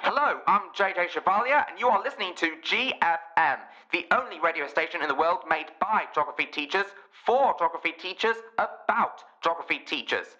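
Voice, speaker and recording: Man playing a bright, self-important radio presenter. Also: sits high, animated, a little fast, hardly any pauses, lo-fi sound. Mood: earnest, upbeat. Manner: polished, grandly announcing.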